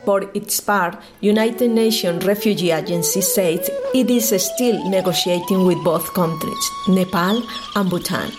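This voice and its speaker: A woman radio presenter reads a scripted news item in a measured, even delivery. Her voice sits slightly low, and music plays clearly beneath her. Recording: voice over background music.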